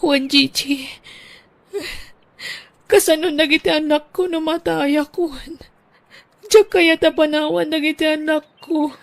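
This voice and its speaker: A woman voicing an ailing young wife and mother in an audio drama, talking in a soft, worried, hushed manner. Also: high voice, fairly even intonation, measured pace, gravelly, pauses often, no echo. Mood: desperate.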